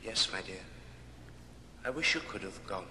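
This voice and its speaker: An actor playing a gentle, grieving father of a poor family in an old radio drama, tender and sorrowful. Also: low-pitched, animated, moderate pace. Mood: tender grief. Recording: echoey, noisy old record.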